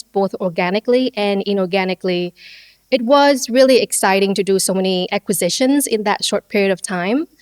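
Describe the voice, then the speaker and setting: Smooth-voiced woman from Vietnam. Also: medium pitch, no echo. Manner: measured, detailed, light laughs. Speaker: podcast guest, business owner. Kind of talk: conversational interview storytelling.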